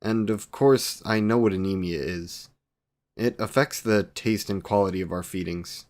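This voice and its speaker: A man's voice: deep voice, moderate pace, darkish tone, lightly acted. A man playing a courtly, devoted, youthful vampire boyfriend in a romantic audio roleplay, with archaic, formal wording and tender endearments. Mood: gentle concern. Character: courtly, devoted 128-year-old male vampire boyfriend, youthful, doting.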